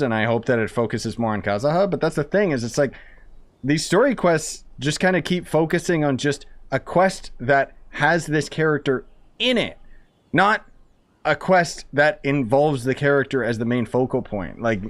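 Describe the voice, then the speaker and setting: A young man giving casual, joking, opinionated commentary as a gaming streamer during a playthrough. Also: medium pitch.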